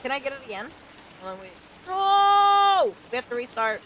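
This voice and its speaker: A woman game streamer doing a casual, expressive let's play, reacting and reading on-screen text aloud. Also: high voice, normal speed, a little hiss or hum, low-quality recording. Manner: expressive, reactive, mild exclamations. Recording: loud rain and game ambience behind. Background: loud rain and game ambience.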